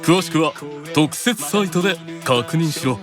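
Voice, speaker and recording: A man's voice: a little high, expressive, measured pace. A man playing a haughty, commanding male demon, reading a promotional announcement in character with an imperious delivery. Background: clear music bed.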